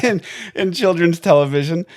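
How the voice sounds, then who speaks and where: A man's voice: on the high side, normal speed, a little mellow. A man hosting a podcast interview, asking easygoing questions in a friendly way and laughing often. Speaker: podcast host. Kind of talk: casual interview.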